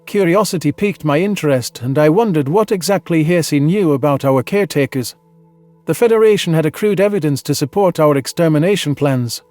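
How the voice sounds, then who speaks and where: Guy with a slightly high voice narrating sci-fi audiobook, earnest and dramatic, dry studio sound, soft music. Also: lively intonation, a little fast, no room sound. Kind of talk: scripted first-person science fiction narration, dramatized.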